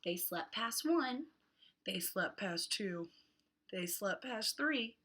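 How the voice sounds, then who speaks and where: Animated, bright-voiced woman reading to kids. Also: mid-range voice, slow pace, breathy edge. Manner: exaggerated, animated delivery with dramatic word emphasis. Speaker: children's read-aloud host. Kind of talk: expressive picture-book read-aloud for young children.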